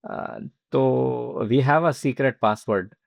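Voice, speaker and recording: A man with an Indian accent talking casually and haltingly as a podcast host, with frequent asides. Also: mid-range voice, creaky, no echo.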